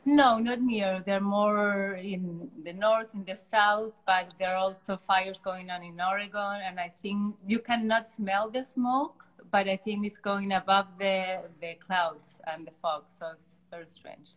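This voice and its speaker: A woman's voice: mid-range voice, fairly even intonation, rough recording. A middle-aged woman from Chile with a Chilean Spanish accent, a native Spanish speaker, talking as a guest in a casual interview with frequent restarts. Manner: warm, halting, frequent restarts. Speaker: artist guest. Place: art studio.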